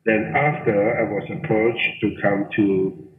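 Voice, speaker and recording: A middle-aged man from Thailand, a hotel general manager answering interview questions in a lively way, often repeating words for emphasis. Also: lowish voice, slow. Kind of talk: interview guest. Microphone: phone or call line. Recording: tinny phone line, roomy echo.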